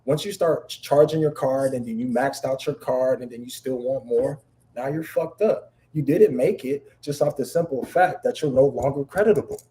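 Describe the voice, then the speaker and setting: A man with a deep voice talking bluntly and emphatically in a casual live-stream chat, swearing freely. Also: normal speed, dark tone, low-quality recording. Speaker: guest.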